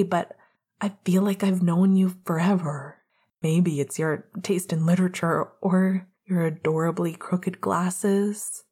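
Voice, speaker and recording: Woman reading as breathy, earnest man in love. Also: on the low side, exaggerated voice. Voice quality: breathy, whispery. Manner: hushed, halting, heartfelt. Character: earnest, vulnerable man confessing love, tender and nervous. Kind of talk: romantic audio story dialogue.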